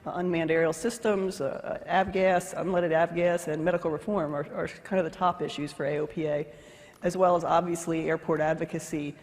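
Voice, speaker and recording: Slightly hoarse woman in a reverberant hall. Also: low-pitched, fairly even intonation, brisk, darkish tone. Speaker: panelist, aviation association executive. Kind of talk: prepared remarks on a conference panel. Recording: echoey hall with long reverb.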